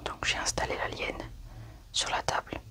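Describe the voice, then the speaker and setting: This is an ASMR roleplay performer speaking entirely in whispers. The delivery is soft and unhurried, acting out a scripted-feeling scene with a hushed, breath-only voice.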